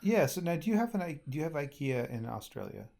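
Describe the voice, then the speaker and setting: A man's voice: on the high side, very animated, fast talker, creaky, darkish tone. A man co-hosting a podcast in casual chat, speaking hesitantly with many ums and trailing phrases. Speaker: podcast co-host.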